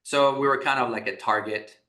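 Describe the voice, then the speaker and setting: Bright-toned man from Iraq, echoey room. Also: medium pitch, steady tone, brisk. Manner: rambling, frequent restarts and fillers. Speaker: guest, business founder. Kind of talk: conversational podcast interview.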